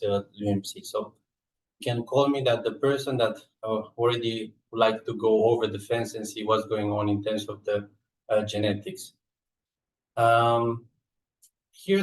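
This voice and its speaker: A man with a Romanian accent presents research in a fairly low voice. His delivery is halting, broken by frequent filled pauses. The sound is clearly roomy, picked up at some distance from the microphone. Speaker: research scientist and lab coordinator presenting. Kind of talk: scientific slide presentation. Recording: echoey room, distant microphone.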